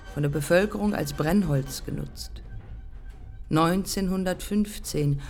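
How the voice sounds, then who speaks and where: An actress playing a proud, embittered woman aviator looking back in terse, deliberate fragments, in a German radio play. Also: fairly low pitch, a little mellow. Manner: terse, deliberate, pausing between short phrases.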